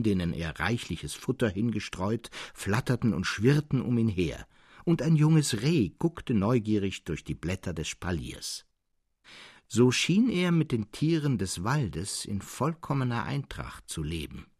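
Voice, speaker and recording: Guy reading a classic tale, standard german accent, animated melody, bit of a husky edge, careful phrasing. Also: fairly low pitch, a little slow, no room sound, clean studio sound. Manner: expressive, carefully phrased storytelling. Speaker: audiobook narrator. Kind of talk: literary story reading for radio.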